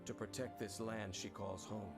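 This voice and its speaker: A man reading scripted promotional narration for a video game in a measured, dramatic storytelling manner. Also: fairly low pitch, fairly even intonation. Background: prominent game trailer music.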